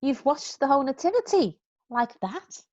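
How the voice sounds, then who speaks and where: A woman's voice: high-pitched, very animated, normal speed, darkish tone, dull audio. Woman reading a flustered, polite hostess mum. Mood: startled. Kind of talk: amateur online play reading.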